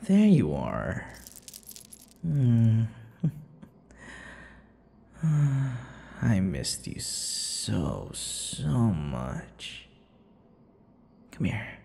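A man playing a possessive, teasing vampire lover in an ASMR audio roleplay, smug and menacing, murmuring and slipping into breathy whispers. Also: huge pitch swings, warm tone, in a large hall, full character voice. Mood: doting, possessive. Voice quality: low, gravelly. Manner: slow, breathy murmurs dropping into whispers, mocking endearments.

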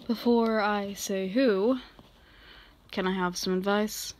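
A woman playing a reserved office boss who is guarded but softening, speaking haltingly in an intimate ASMR roleplay. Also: medium pitch, steady tone, close to an everyday voice. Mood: confiding, hesitant. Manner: halting, measured delivery with sighs.

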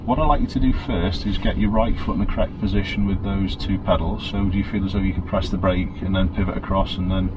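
Middle-aged man, driving instructor, steady and reassuring, recorded inside a car with road noise. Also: low-pitched, quick pace, hardly any pauses. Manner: calm, encouraging, checks understanding often. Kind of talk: patient step-by-step instruction. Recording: noisy car interior.

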